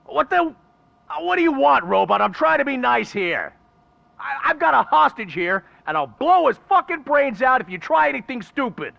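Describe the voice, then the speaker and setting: A man's voice: very high voice, very animated, moderate pace, gravelly, sharp tone, no echo, lo-fi sound, heavily acted. A man voicing a cocky, foul-mouthed street thug in a video game, taunting and blustering in slangy talk. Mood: nervous bluster.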